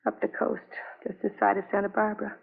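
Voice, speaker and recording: An actress playing an anguished, lonely young wife in a 1950s radio drama, speaking in halting, repetitive fragments on a vintage broadcast recording. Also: female voice, mid-range voice, monotone, vocal fry, narrow, tinny audio. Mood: desolate, pleading.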